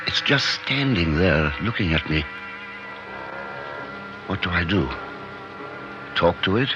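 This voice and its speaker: An actor in a 1950s radio drama playing an obsessed scientist, speaking in intense, halting bursts, awed and fearful of what he has made. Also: deep voice, lively intonation, over music. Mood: awed, agitated. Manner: intense, halting, talking to himself in short bursts. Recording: dull 1950s radio recording.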